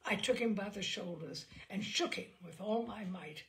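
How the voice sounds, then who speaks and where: A woman's voice: medium pitch, moderate pace, gravelly, natural voice. A woman performing a tormented, bitter wife making a confession in a dramatic, intense storytelling manner. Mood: furious. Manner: dramatic, intense, hushed then rising to cries.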